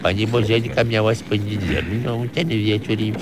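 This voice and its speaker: A man's voice: on the low side, normal speed, natural voice. An actor playing a petulant, amorous old grandfather who rambles and whines in a comic radio play. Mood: petulant, plaintive. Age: older. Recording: radio play with clear background noise.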